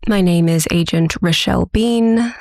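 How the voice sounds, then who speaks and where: An actress playing a composed, dryly wry forensic interviewer in an audio drama, speaking in a formal, measured, deadpan way. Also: female voice, lowish voice, noisy background. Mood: businesslike, composed. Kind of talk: audio drama scripted narration.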